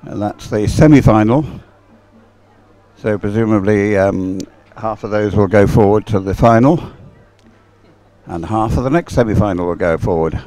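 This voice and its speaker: Understated male race commentator, mellow tone. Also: fairly low pitch, normal speed. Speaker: sports commentator. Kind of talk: live race commentary, unscripted.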